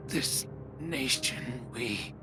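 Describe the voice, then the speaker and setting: A man's voice: medium pitch, expressive, very slow. An actor playing a dying middle-aged father in a video game, weak, remorseful and struggling to speak. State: gravely weakened, struggling to speak. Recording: loud background music.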